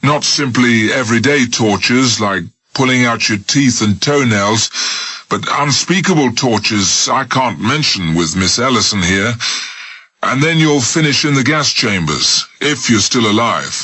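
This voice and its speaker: A man's voice: medium pitch, expressive, unhurried, breathy edge, dry recording, voice pushed up, exaggerated voice. A male reader voicing a ruthless man who threatens and mocks a frightened captive. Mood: menacing. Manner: forceful, menacing, mocking.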